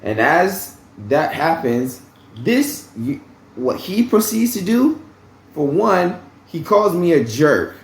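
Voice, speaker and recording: A man's voice: on the high side, sing-song, slow, creaky, echoey room. A man casually telling a story about his job, rambling and repeating himself, often asking "okay?" and swearing bluntly. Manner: blunt, uses profanity freely. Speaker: vlogger telling a work story.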